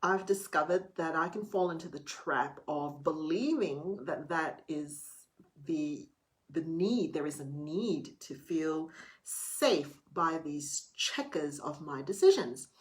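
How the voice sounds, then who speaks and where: A woman's voice: deep voice, expressive, slow pace, poor audio. A middle-aged woman talking candidly to camera in a casual late-night vlog, chatty and a little theatrical. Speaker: vlogger.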